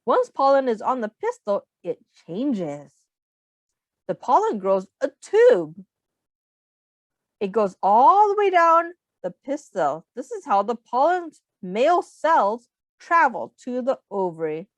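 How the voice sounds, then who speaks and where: A woman with a high, hugely varied voice reads aloud to young children. She teaches as she goes, taking her time, explaining in simple terms and putting questions to the listeners. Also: slow pace, dry recording. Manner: instructive, expressive, addressing young children with questions.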